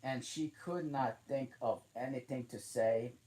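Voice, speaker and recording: A man reading a children's classic aloud in a halting way, often restarting and correcting himself mid-sentence. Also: medium pitch, dark tone, rough recording. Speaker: channel host reading aloud.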